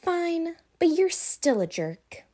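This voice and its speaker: A woman plays a perky cartoon hedgehog girl with a very high, swooping voice. The tone is soft and mellow, pouty and easily indignant. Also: very animated, slow, heavily acted. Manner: pleading, pouty. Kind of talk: comic dub voice acting.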